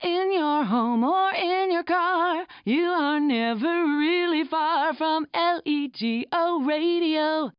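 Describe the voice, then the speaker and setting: An actress playing a radio station jingle voice in a game, delivering a drawn-out musical ident. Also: female voice, high-pitched, no echo. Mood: breezy jingle. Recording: dull lo-fi game audio. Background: faint music.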